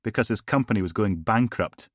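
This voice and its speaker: A man's voice: fairly low pitch, brisk, no room sound, lightly acted. A man voicing a blunt, defiant male police sergeant with a dry, sardonic manner.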